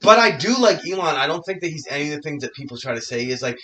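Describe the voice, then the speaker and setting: A man's voice: on the high side, lively intonation, racing, bright, forceful tone. A man co-hosting a podcast, rambling through casual opinions, swearing freely and asking his guests questions. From United States. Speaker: podcast co-host. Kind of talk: casual chat.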